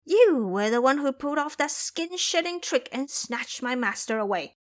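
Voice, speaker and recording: A woman voicing a brash, fiery monkey warrior in an audio drama, with a taunting, forceful manner. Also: high-pitched, animated, moderate pace, voice pushed up. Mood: furious, defiant.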